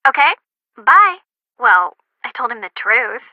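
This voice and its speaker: A cheeky student sidekick in a detective game. Her high, theatrical voice swings widely in pitch, breezy and wry, through a dull phone filter. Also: very animated, a little slow, no room sound. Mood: dry, offhand. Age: young adult. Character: chatty, cheeky young female criminology student sidekick. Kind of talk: video game character acting over the phone. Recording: muffled phone-like sound.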